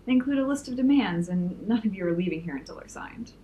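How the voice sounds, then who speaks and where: A woman playing a commanding young supervillain in an audio drama, formal and deliberate. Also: medium pitch, animated, warm tone, close to an everyday voice. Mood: coolly threatening. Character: commanding, coolly threatening young supervillain leader. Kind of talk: audio drama villain acting. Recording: faint noise and room ambience.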